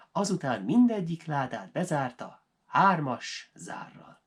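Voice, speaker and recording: Animated male storyteller, lively swings in pitch. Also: breathy edge. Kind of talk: expressive folk tale narration for language learners.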